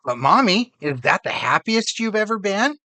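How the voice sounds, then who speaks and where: A man voicing a curious, affectionate little boy in a storybook, asking his mother eager questions that rise in pitch. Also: big pitch swings, moderate pace, pushed and bright, no echo, heavily acted.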